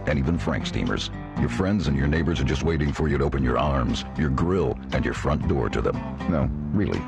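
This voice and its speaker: A man with a very deep, gravelly voice delivers a tongue-in-cheek radio ad. His pitch swings widely, and guitar music plays clearly behind him. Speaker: commercial voice-over. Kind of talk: humorous radio ad read.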